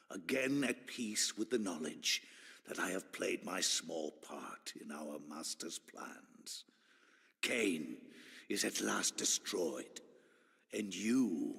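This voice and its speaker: A man voicing a gloating ancient villain in a video game, theatrical and savoring each phrase, with a gravelly voice. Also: deep voice, fairly bright tone, big-room sound, full character voice. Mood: solemn devotion. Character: gloating ancient villain, a sinister servant of dark masters. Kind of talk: dramatic video game voice acting.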